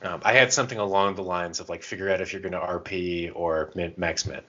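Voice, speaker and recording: A man with a very low voice chats casually as a podcast co-host. He is irreverent and pokes fun at himself. The audio is a little dull and lo-fi. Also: fairly even intonation, a little fast, bright, forceful tone. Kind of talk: casual chat.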